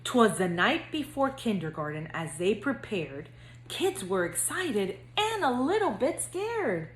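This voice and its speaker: A woman school counselor speaking to young children in a gentle, singsong read-aloud storytelling manner. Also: mid-range voice, big pitch swings, a little slow, reverberant, slight background noise, low-quality recording.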